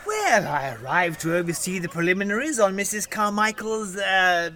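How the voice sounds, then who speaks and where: Fussy vicar, hesitant, rambling, bright animated voice, audio comedy, noisy recording. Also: male voice, high voice, moderate pace, exaggerated voice. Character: fussy, doubting male village vicar. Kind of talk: audio sitcom acting.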